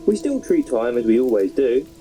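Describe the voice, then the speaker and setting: A man's voice: mid-range voice, very animated, normal speed, dark tone, soft background music, close to an everyday voice. A man playing a frightened but defiant young human in an audio drama, blunt and anxious. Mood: doubtful.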